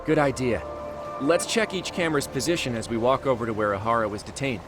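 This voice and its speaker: A man's voice: mid-range voice, fast talker, close to an everyday voice. An actor playing a man who briefs his detective partner on a case in a game cutscene, in an explanatory tone. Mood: explaining. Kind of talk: video game cutscene voice acting. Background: background music.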